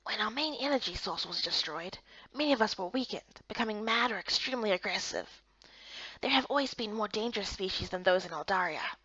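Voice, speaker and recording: A woman acts out a gentle male official in a fantasy game. He speaks softly and politely, often close to a hush, with patient reassurance. The sound is close and dry, with a dull lo-fi edge. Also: mid-range voice, expressive, brisk, breathy edge, dry recording. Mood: patient, reassuring.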